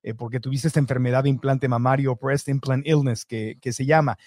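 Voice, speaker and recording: A man hosting a podcast interview, asking quick chains of questions. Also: mid-range voice, steady tone, a little mellow, no echo, studio quality. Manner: asks rapid chains of questions. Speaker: podcast host. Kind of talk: interview conversation.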